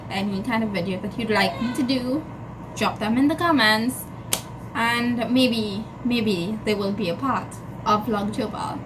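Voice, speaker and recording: Woman, high voice, casual book vlog chat, enthusiastic, noisy ambient background. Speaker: book vlogger. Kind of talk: casual chat to camera about books.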